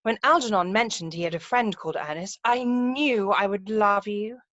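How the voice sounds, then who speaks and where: A woman's voice: mid-range voice, normal speed, fairly bright tone, no echo, natural voice. A woman playing a self-assured, romantic young upper-class lady in an amateur play read-through, speaking precisely and emphatically over a video call. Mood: adoring. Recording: muffled, dull video-call audio.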